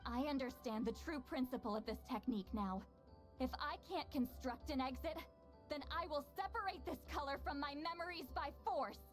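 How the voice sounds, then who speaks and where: A woman plays a proud, ageless shaman in a video game. Her voice is high and youthful, her manner earnest and firm. Faint music runs underneath. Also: normal speed, close to an everyday voice. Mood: determined. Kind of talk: video game character acting.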